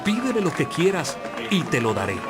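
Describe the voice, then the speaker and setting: A grand, haughty king from a dramatized scripture recording. The voice rides high and swoops dramatically, declaiming every phrase. Music plays prominently underneath. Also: huge pitch swings, normal speed, over a noisy crowd. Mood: grandly generous. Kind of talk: dramatized audio Bible acting.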